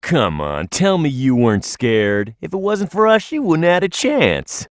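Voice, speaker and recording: A tough young male echidna hero from a video game, cocky and quick to flare up. The pitch swings very widely in an emphatic delivery. The recording is close and dry, with no room sound. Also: huge pitch swings, no echo. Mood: cocky, relieved. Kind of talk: video game character voice lines, tutorial hints and battle shouts.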